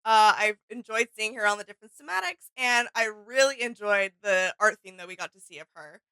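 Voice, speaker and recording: A woman's voice: medium pitch, expressive, fairly quick, sharp tone, smooth, dry recording, rough recording. A woman co-hosting a reaction video, chatting casually.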